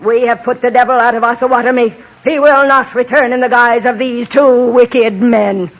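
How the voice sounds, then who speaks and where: An actress playing a stern, indignant small-town temperance crusader in an old radio drama, righteous and commanding. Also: female voice, on the high side, steady tone, normal speed, dull audio, close to an everyday voice. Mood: righteous, scolding. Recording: vintage 1950s radio recording.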